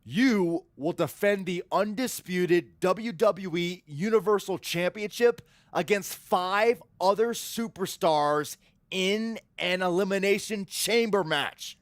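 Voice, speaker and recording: A woman playing a matter-of-fact wrestling show co-host in a video game cutscene, speaking in a measured presenter's manner. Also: medium pitch, dry recording, natural voice.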